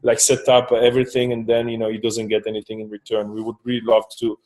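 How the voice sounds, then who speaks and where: Halting Swiss man pitching a partnership. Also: monotone, darkish tone, low-quality recording. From Switzerland. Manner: halting, many fillers and restarts. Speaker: startup co-founder. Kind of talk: business meeting talk.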